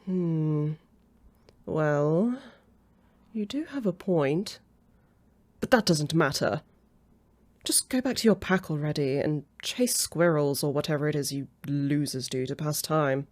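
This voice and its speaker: A woman's voice: sits low, slow pace, long pauses. A woman playing a haughty, possessive aristocratic female vampire in a roleplay audio drama, flirtatious and mocking, with a condescending drawl. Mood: taunting, haughty. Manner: drawling, taunting, chuckling condescension.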